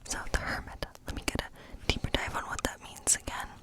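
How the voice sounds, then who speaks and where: A woman playing a friendly, chatty woodland witch in an ASMR role play, speaking in a breathy whisper and rambling gently. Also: a little fast, natural voice. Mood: musing, playful. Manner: rambling, gentle, lingering over phrases.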